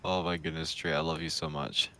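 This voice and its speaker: A man's voice: extremely low pitch, level tone, fairly quick, pushed and bright, slight background noise. A man co-hosting a live stream, teasing and hyping up the host to chat.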